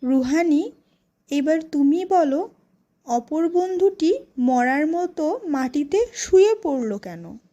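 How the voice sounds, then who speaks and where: A woman storyteller with a high, lively voice that swings in pitch. She takes her time, phrasing each line deliberately like a teacher addressing young pupils. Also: dull audio. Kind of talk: scripted children's story narration and classroom questioning.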